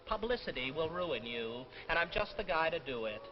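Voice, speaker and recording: An actor playing an insistent, smooth-talking young man after money in a 1950s television drama. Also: fairly high pitch, sing-song, moderate pace. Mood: menacing. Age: young adult. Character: pushy, scheming young man hungry for money. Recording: muffled vintage TV broadcast, faint music.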